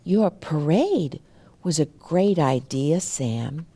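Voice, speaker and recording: A woman reading the lines of a bookish, helpful young schoolgirl in a storybook, deliberate and encouraging. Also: low-pitched, expressive, mellow, husky, pitched below the performer's own voice, full character voice. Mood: gentle, encouraging.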